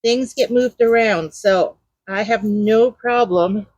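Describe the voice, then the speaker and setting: A woman chatting loudly while she works in her garden, explaining her steps as she digs. Her mic is clipped to a nearby trellis, and she rambles and hunts for words. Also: medium pitch, slow, no echo, highs cut off. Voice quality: loud voice. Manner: talks while digging in the garden. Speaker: gardening vlogger. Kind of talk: casual how-to narration while working. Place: outdoor garden.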